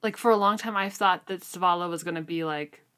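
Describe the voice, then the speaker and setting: A woman with a lowish, smooth and clear voice talks casually as a podcast co-host. She shares reflective opinions in an informal book discussion, often softening them as she goes. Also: a little fast.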